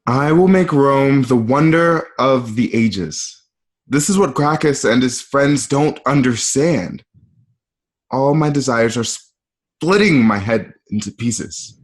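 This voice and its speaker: An actor in a dramatic script reading, playing brooding, soulful men of ancient Rome in short, weighted phrases. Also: male voice, mid-range voice, takes it slowly, lightly acted.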